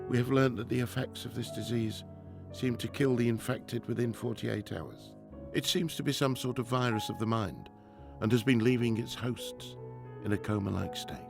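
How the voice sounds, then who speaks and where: A man's voice: lowish voice, gravelly. An actor playing a stern, driven widowed professor and father in a 1920s radio drama, with a formal, precise delivery. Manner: formal, precise, clipped scolding. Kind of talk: radio drama acting, diary narration. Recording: music under the voice.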